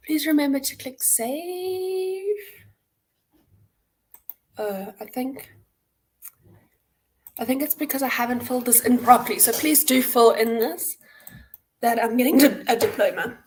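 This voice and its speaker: A South African woman with a fairly high voice leads a live online tutorial. She explains each step in an informal, instructional way, as a host guiding participants through a platform. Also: animated, a little slow, pauses often, a little hiss or hum. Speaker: hackathon host and presenter. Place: indoor room, on a livestream webcam.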